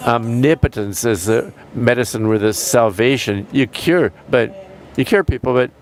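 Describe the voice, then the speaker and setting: A man interpreting a panel into English live, measured and pausing between phrases. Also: mid-range voice.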